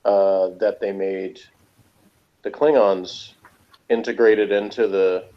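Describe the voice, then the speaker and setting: A man with a fairly low voice talks casually as a podcast reviewer. He rambles through his opinions. The sound is dull and lo-fi. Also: fairly even intonation, slow. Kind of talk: casual chat reviewing a TV episode.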